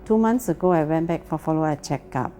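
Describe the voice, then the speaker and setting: A woman's voice: low voice, fairly quick, mellow, faint music behind. A woman with a Malaysian English accent giving a plain, earnest personal testimony. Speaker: testimony speaker.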